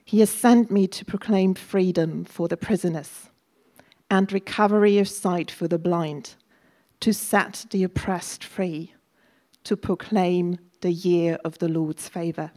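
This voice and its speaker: A woman gives a formal scripture reading in a chapel service. Her voice is low and mellow, and her delivery is very slow and deliberate. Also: steady tone. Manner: measured and deliberate. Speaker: scripture reader.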